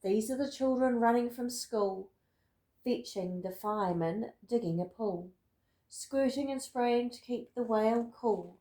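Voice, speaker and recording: A woman reading a rhyming picture book aloud in an expressive, deliberate storytelling manner. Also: fairly high pitch, echoey room, poor audio. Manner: dramatic, deliberate storytelling with emphatic words. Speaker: storybook reader.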